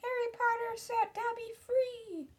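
A man voicing a small, devoted male house-elf in a storybook, with a shrill voice and broken third-person speech. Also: level tone, measured pace. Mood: shrill defiance.

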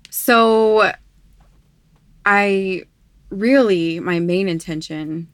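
A young woman hosting a podcast, gently interviewing her partner in casual conversation. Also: mid-range voice, extremely slow, no echo. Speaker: podcast host.

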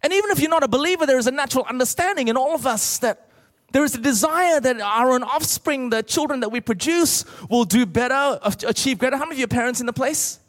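High-pitched Australian male preacher in a hall. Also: animated, fast talker. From Australia, born in Malaysia. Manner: emphatic, rhetorical questions to the congregation. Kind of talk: sermon with comic storytelling. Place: large church auditorium, speaking to a congregation. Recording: large reverberant hall.

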